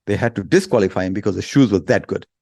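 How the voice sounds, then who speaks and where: A man's voice: low voice, quick pace, dark tone, dry recording, studio quality. A man speaking as an expert guest in an interview, explaining with examples in a blunt, emphatic way.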